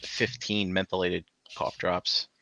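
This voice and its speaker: A man's voice: sits low, level tone, slow pace, vocal fry, crowd noise. A man co-hosting a podcast, telling laid-back stories and swearing casually. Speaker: podcast co-host. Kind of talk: casual chat. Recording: dull lo-fi sound.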